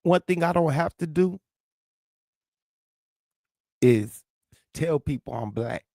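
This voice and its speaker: A man's voice: fairly high pitch, expressive, slow pace, creaky, a little mellow, no echo, clean studio sound. A man from St. Louis with a lisp and a local accent, hosting a livestream and arguing with commenters in the chat in a profane, confrontational way. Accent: St. Louis, American South Midwest. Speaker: livestream host. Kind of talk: heated livestream rant, answering chat.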